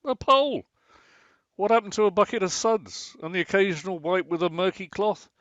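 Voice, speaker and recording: A man's voice: high-pitched, normal speed, a bit bright, no room sound. A man playing a grumbling, sarcastic husband in a radio play, theatrically indignant and given to sardonic exclamations. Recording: dry, slightly dull lo-fi sound.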